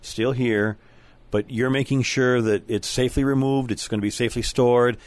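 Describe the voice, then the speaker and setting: A man's voice: medium pitch, fairly even intonation, normal speed, a little mellow, dry recording. A middle-aged or older man hosting a conversational interview in a polite, appreciative manner. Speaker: radio show host and interviewer.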